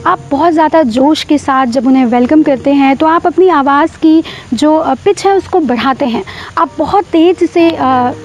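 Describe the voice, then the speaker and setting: A woman's voice: high-pitched, normal speed, darkish tone. A woman giving an instructional talk as a channel host, explaining and repeating key points for emphasis. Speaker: host of a public speaking tips channel. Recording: long echoing room reverb.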